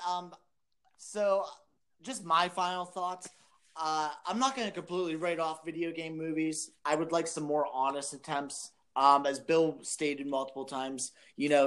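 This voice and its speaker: A man co-hosting a podcast, speaking in a casual conversational way. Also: fairly high pitch. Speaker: podcast co-host.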